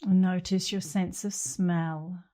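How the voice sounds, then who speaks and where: Slow, mellow woman guiding meditation. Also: on the low side, steady tone. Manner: gentle, unhurried with long pauses. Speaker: meditation instructor. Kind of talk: guided meditation.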